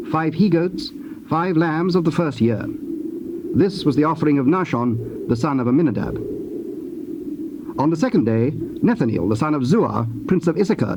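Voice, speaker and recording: A man narrating scripture from a script in a measured, formal, solemn manner. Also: on the high side. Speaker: narrator of dramatized Bible. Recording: dull, noisy old cassette tape.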